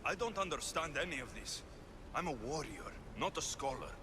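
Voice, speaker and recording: Proud young warrior, lively pitch. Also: male voice, normal speed, background noise. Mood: resentful, demanding. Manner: blunt, intense, questioning. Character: proud, wary young warrior seeking answers. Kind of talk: video game cutscene acting. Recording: music behind the voice.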